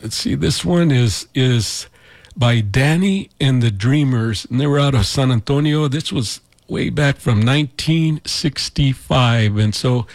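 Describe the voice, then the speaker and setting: Raspy-voiced easygoing radio DJ man. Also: medium pitch, a little slow, no room sound. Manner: easygoing, rambling, chuckles often. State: raspy voice from allergies. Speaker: radio DJ host. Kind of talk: community radio music show. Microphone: studio mic.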